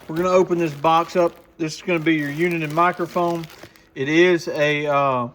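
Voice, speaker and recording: A man with a slightly high voice walks through a product in a casual, folksy manner. He explains each step plainly, like a shop owner showing his goods. There is faint noise and some clicking in the recording. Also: steady tone, normal speed, a bit bright. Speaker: radio shop owner presenting a product demo. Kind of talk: casual hands-on product walkthrough. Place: radio shop, indoors.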